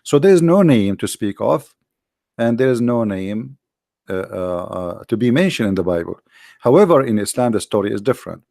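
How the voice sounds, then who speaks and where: A man whose first language is Arabic, lecturing combatively over on-screen text, with many halting fillers and slow, drawn-out stress on key words. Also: lowish voice, very animated, creaky, warm tone, no echo, studio quality. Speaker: religious commentator.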